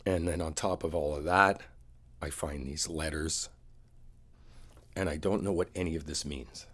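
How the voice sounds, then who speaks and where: A man acts out a frustrated boyfriend with a very low, husky voice. He speaks in halting, everyday phrases, as one side of an intimate audio roleplay argument with his partner. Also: fairly even intonation, fairly bright tone, exaggerated voice. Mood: hurt, frustrated.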